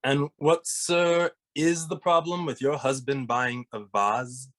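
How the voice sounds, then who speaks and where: A man's voice: mid-range voice, fairly even intonation, slow, fairly bright tone. Man playing a pompous, intellectual young detective. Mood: formal, dry. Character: intellectual, haughty thirty-year-old detective twin brother. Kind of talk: animated comedy script table read.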